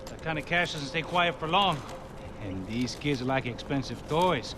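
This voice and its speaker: An actor playing a streetwise bodyguard, wry and brotherly, in an action game. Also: male voice, on the high side, lively intonation, normal speed, background noise, lightly acted. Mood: cool, wry. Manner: wry, familiar. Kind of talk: action game cutscene acting.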